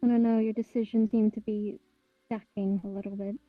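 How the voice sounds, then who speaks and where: Distrustful, deadpan townswoman for a fantasy game acted by a woman, very even pitch, dry echo-free not required. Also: moderate pace, soft background music, close to an everyday voice. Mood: disgruntled, distrustful. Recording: dull, roomy online voice chat.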